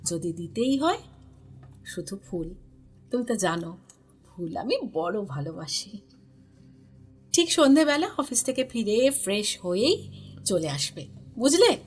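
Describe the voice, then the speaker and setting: A woman's voice: mid-range voice, very animated, a little slow, long pauses. A woman playing an affectionate, chattering young married neighbour in an audio drama, familiar and coaxing. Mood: bubbly, coaxing.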